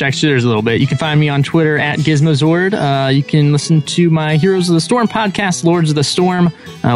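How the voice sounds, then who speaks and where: A man who is a guest on a gaming podcast, speaking in a friendly way. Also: fairly high pitch. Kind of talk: casual podcast chat.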